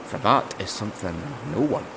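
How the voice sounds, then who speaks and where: A man's voice: lowish voice, lively intonation, moderate pace, background noise, lightly acted. A man playing a bold, courtly would-be king in a fantasy audio drama, with gallant, flowery, formal speech. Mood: earnest.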